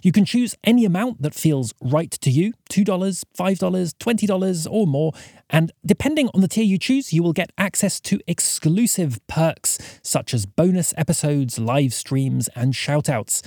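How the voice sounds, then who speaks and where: A male podcast host reads scripted announcements courteously. His voice is fairly high and very expressive, with deliberate phrasing and a dry studio sound. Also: no room sound.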